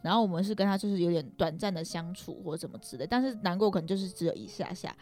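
A woman's voice: fairly low pitch, fast talker, darkish tone, faint music behind. A young woman with a Taiwanese Mandarin accent telling a personal story casually, rambling and often circling back to rephrase.

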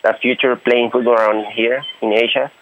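A young man from Honduras talks through a radio interview, pausing and restarting as he searches for the right words. His voice comes through a narrow, tinny phone-line band. His pitch sits in an ordinary middle range. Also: flat delivery, fairly bright tone. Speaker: guest footballer.

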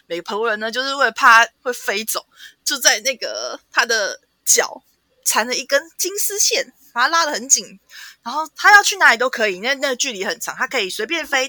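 A woman with a Taiwanese Mandarin accent hosting a podcast, casually retelling a story with animated, playful asides. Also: fairly high pitch, no room sound. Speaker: podcast host. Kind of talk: casual storytelling and book chat.

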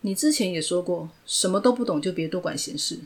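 A woman's voice: fairly low pitch, animated, fairly quick. A woman voicing a stubborn, proud young shop owner who speaks firmly and indignantly. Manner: firm, indignant, raised voice.

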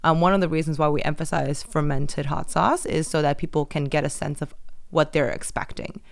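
Mulher com voz lisa e um pouco rouca. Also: female voice, sits low, fairly even intonation, fairly quick, vocal fry. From Taiwan. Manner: chatty, many fillers and restarts. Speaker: business owner guest. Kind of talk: casual interview chat.

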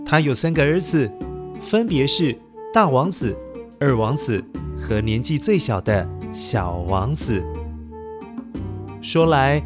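A man narrates a fairy tale for children in an animated storytelling style. Music plays clearly behind his voice. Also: fairly high pitch.

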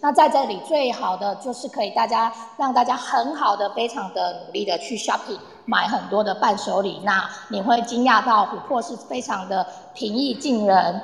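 A woman's voice: mid-range voice, moderate pace, vocal fry, hardly any pauses, lightly acted. A woman playing a cheerful, eager tour guide in an amateur radio play, chatting to her group as she explains. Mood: cheerful, explanatory. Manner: chatty, addresses group, uses soft sentence-final particles. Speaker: tour guide in an audio drama. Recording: echoey, long reverb, online audio-room recording.

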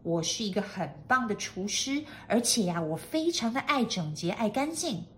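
A woman's voice: medium pitch, lively intonation, normal speed, fairly bright tone, a little hiss or hum, natural voice. A woman voicing an eager, polite, plucky housekeeper in a storybook, with a chirpy manner. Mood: eager, confident. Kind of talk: storybook character acting.

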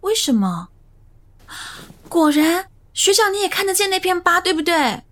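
High-pitched, lively young woman. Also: normal speed, heavily acted. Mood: concerned, probing. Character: earnest, curious young woman working in a cafe. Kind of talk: Mandarin audio romance drama acting.

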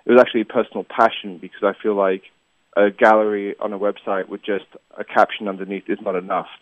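A man's voice: on the low side, little pitch movement, fast talker, no echo. Earnest man on a tinny line. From Asia (Hong Kong, Taiwan, Malaysia). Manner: earnest, rambling with many "you know" fillers and restarts. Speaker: tour company founder, guest. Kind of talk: casual interview answers. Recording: dry tinny phone-like line.

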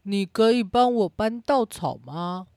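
A woman's voice: mid-range voice, lively intonation, unhurried, darkish tone, dry recording, studio quality. Woman as a lazy, drawling storybook pig. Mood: drowsy, stubborn. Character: lazy, stubborn pig brother in a fairy tale. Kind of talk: children's radio play.

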